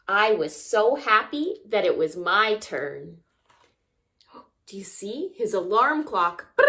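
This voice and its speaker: A woman reading a picture book aloud to young children, expressively, with asides and questions to the listeners. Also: a little high. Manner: expressive, acts out sounds and asks the listeners questions. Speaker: story time reader.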